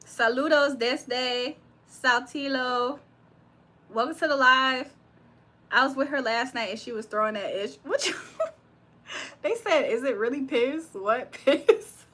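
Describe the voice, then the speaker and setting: A woman's voice: sits high, slow, pushed and bright. A young woman from Virginia hosting a livestream, reading comments aloud and reacting with frequent laughs. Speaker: livestream host reading chat. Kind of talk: casual live chat.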